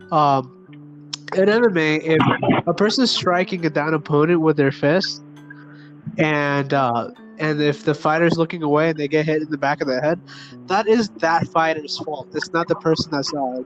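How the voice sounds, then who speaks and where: A man's voice: fairly high pitch, normal speed. A man co-hosting a sports podcast with blunt, slangy casual commentary and free swearing. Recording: muffled lo-fi audio, faint music.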